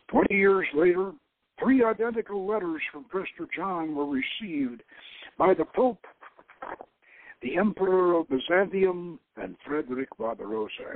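High-pitched man reading, thin phone-like sound. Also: no echo. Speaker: host reading his adventure novel aloud. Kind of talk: dramatic audiobook-style reading.

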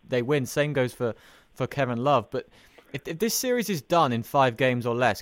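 A man's voice: medium pitch, fairly bright tone, dry recording. A man hosting a sports podcast, asking long, opinionated questions in a conversational way.

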